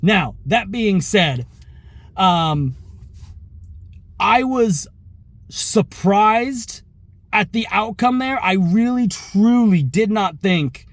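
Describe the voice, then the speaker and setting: Slightly hoarse, higher-pitched man giving casual commentary. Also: animated, very slow, long pauses, dry recording. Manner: conversational and rambling, with asides and self-corrections. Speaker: wrestling reaction show host. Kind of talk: casual opinion commentary to viewers.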